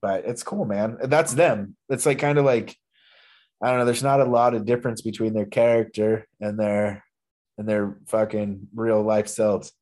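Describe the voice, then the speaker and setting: A man's voice: on the low side, steady tone, moderate pace, darkish tone. A man in his thirties hosting a casual wrestling podcast, ranting in an opinionated, profane way. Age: 30s. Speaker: podcast host.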